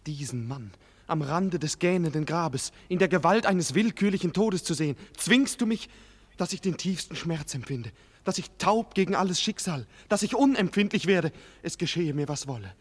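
A man's voice: on the high side, expressive, moderate pace, breathy edge. An actor playing a tender, impassioned young nobleman in despair, declaiming emotionally in a 1940s German radio play. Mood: anguished, defiant. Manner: emotional, declamatory, bursting from subdued grief into loud outcries. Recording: vintage 1940s radio studio recording.

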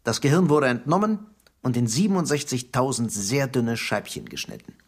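An actor in a radio drama playing a scheming, secretive Soviet security chief who speaks in a conspiratorial, patronising, insistent way. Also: male voice, mid-range voice, lively intonation, moderate pace, husky. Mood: explaining.

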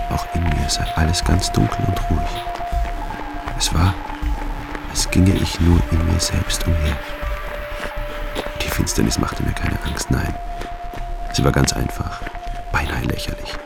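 An actor playing a calm, brooding man in a hushed inner monologue for a radio play. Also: extremely low pitch, creaky, exaggerated voice, poor audio. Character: calm, brooding man narrating a walk through darkness.

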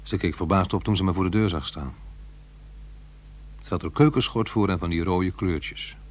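A man's voice: low-pitched, moderate pace. A man playing a troubled, brooding divorced father in a radio drama, earnest and hesitant. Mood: reflective. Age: middle-aged.

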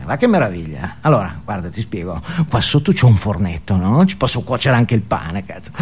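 A man's voice: medium pitch, voice pushed down. A man playing a smug, irritable well-off family man showing off in a comic stage monologue, chummy and always seeking agreement.

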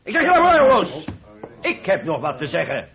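Hot-tempered poor painter, husky, high-pitched, exclamatory, old radio drama, muffled narrow sound. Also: male voice, huge pitch swings, moderate pace, heavily acted. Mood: indignant, blustering. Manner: blustering, exclamatory, earthy colloquial talk. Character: proud, hot-tempered, good-humoured poor male Dutch painter. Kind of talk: vintage radio play acting.

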